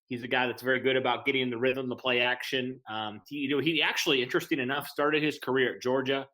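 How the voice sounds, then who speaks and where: A man's voice: medium pitch, fairly even intonation, fast talker, sharp tone. A man co-hosting a casual sports podcast, breaking down players in an earnest, hesitant way with many ums and restarts. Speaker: podcast co-host.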